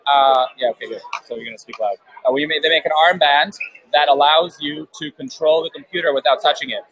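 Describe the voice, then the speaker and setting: A man's voice: fairly high pitch, a little fast, a bit bright, husky, rough recording. Casual host, male, loose and chatty, headphone mic at a crowded event, fillers everywhere. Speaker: live stream host interviewing guests. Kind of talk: casual live interview. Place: busy outdoor event beer tent.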